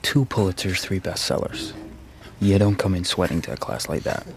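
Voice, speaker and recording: A man's voice: deep voice, expressive, normal speed. A man acting an anxious young graduate student in a radio drama, muttering self-critical thoughts to himself. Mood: anxious, rueful. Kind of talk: radio drama inner monologue. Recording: clear background noise.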